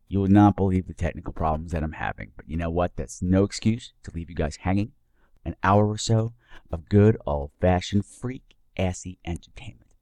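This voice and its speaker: Theatrical, low-voiced male podcast host. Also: dark tone, no room sound. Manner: theatrical, playful, drawn-out emphasis, cheeky. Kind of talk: campy scripted podcast introduction.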